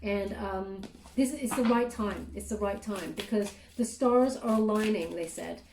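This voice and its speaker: A woman's voice: medium pitch, a little slow, roomy sound, noisy background. A woman giving a casual tarot reading, chatty and full of fillers, often checking in with "okay?". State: clearing throat, voice catching. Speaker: tarot reader.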